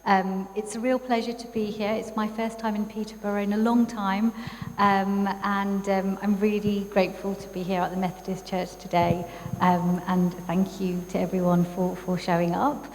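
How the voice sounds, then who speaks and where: A woman's voice: mid-range voice, flat delivery, normal speed. Chatty woman in an echoing hall. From East London, England. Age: 30s. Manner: warm, chatty, frequent fillers. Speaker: guest speaker, psychologist. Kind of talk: personal talk at a community event. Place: church hall. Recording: reverberant hall, faint background noise.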